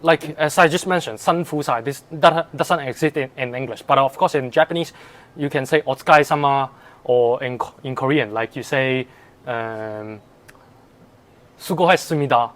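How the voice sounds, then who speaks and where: A man's voice: mid-range voice, normal speed, fairly bright tone. A man in his twenties from Hong Kong with a Hong Kong accent, Cantonese native, answering interview questions hesitantly with many fillers and restarts. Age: 20s. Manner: laughs easily. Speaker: guest. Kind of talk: casual interview.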